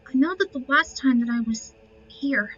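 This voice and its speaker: A woman's voice: high voice, moderate pace, a bit bright, slight background noise. A woman giving casual commentary as she plays through a video game. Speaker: gamer presenting a playthrough. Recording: dull lo-fi mic.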